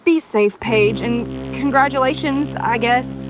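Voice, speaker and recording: A guarded, dry-witted young historian for an audio drama. Her fairly high voice stays understated over prominent music. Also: slow pace, rough recording.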